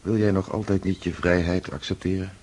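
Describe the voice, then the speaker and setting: A man's voice: sits low, flat delivery, a little fast, a little mellow, slightly rough. An actor playing a pensive, absent-minded nobleman who speaks in a musing, hesitant way. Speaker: Pierre, a nobleman. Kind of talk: radio drama acting.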